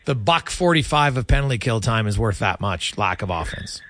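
A man's voice: fairly low pitch, expressive, moderate pace, a bit bright. A man hosting casual sports radio talk in a conversational, opinionated way, close on the microphone.

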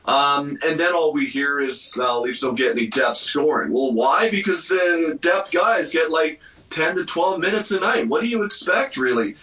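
A man's voice: a little high, animated, normal speed, pushed and bright. A man giving casual sports commentary as a radio guest, in an opinionated, emphatic manner. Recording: roomy, slightly distant mic.

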